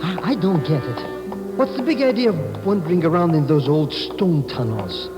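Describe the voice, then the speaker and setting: An actor playing an excitable, cowardly, easily baffled sidekick in a 1950s radio drama, with a jittery, stammering delivery. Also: male voice, fairly high pitch, moderate pace, music in the background, close to an everyday voice. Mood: puzzled. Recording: noisy vintage radio recording.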